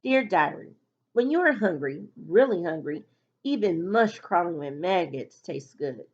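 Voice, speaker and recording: A woman's voice: on the low side, expressive, a little slow, dull audio. A woman voicing a starving, bitter enslaved girl in a storybook diary read-aloud. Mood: bitter, hungry.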